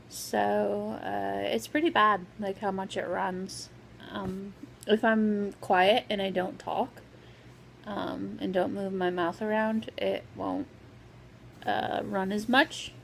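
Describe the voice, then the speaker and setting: A woman vlogger talking casually with a sore, scratchy throat, pausing often with lots of "um"s. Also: mid-range voice, creaky. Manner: hesitant, full of "um" fillers. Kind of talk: casual vlog update.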